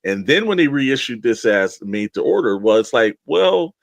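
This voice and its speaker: A man's voice: mid-range voice, big pitch swings, moderate pace, gravelly, no echo. A man co-hosting a casual toy-collector chat, talking conversationally in long run-on thoughts. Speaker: podcast co-host.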